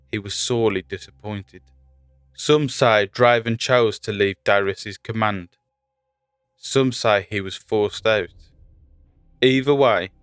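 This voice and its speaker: A man reading scripted fantasy lore as a narrator, in a measured storytelling delivery. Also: on the low side, smooth, no room sound. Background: faint music.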